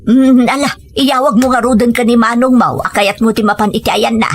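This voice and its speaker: A woman's voice: a little high, steady tone, fairly quick, slight background noise, natural voice. A woman playing a supportive older mother of a grown son in an audio drama, reassuring and practical as she advises. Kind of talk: scripted audio drama dialogue. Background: faint music.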